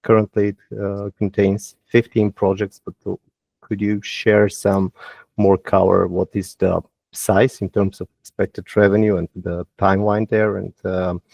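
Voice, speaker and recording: A man asking semi-formal questions on an investor earnings call in a hesitant, halting way. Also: lowish voice, little pitch movement, vocal fry, darkish tone, dry recording.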